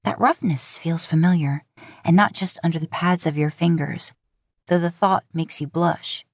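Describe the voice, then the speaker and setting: A woman narrating scripted romantic fiction in a measured, expressive storytelling manner. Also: lowish voice, no echo, clean studio sound. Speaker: podfic narrator.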